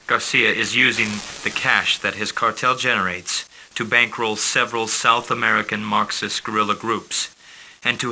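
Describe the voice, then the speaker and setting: An actor playing a formal male intelligence handler who briefs a commander on his missions in a calm, businesslike way. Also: medium pitch, slight background noise, poor audio. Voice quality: crisp, formal delivery. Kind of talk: scripted game briefing.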